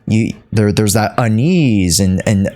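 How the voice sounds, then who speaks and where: A man talking off the cuff to his livestream chat, halting and repeating himself as he searches for words. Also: lowish voice, mellow. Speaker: streamer. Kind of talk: unscripted livestream commentary to chat.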